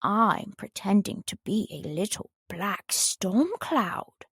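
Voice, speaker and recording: Lady doing a slow dim sweet honey bear for a kids storybook, musing, dry sound. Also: medium pitch, animated, creaky, slightly rough, no room sound, full character voice. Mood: earnest. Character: gentle, honey-loving, dimwitted male toy bear. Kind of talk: children's storybook character voice.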